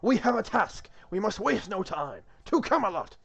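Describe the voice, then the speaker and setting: A man playing an earnest king in a comic script, calling out in a raised, animated voice. He is formal, regal and insistent, with a note of exasperation in his manner. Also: high voice, fairly quick, voice pushed up. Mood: rallying, formal.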